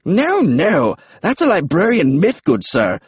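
Man as a prim British flying dinosaur, scholarly, polite, high voice, animated, storybook. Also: unhurried, slightly rough, no room sound, clean studio sound, full character voice. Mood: earnest, proper. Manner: courteous, prim. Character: polite, scholarly male pterodactyl, mildly put out.

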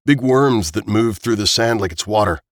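A man's voice: lowish voice, moderate pace, no room sound, clean studio sound, lightly acted. A man voicing a cocky but nervous guy with a casual, blunt manner in audiobook dialogue. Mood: uneasy.

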